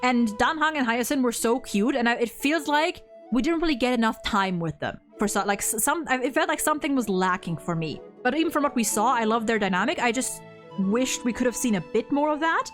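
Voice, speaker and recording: A young woman co-hosting a casual discussion, talking in an animated, opinionated way with frequent swearing. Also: a little high, fairly quick, soft background music. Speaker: video host reviewing a game.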